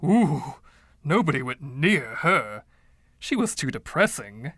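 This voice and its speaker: A man's voice: on the high side, big pitch swings, takes it slowly, a bit bright, no room sound, clean studio sound, full character voice. A man voicing a boastful, giggly 1920s dandy in a storybook reading, with a silky voice and theatrical, teasing old-fashioned slang. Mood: teasing, dismissive.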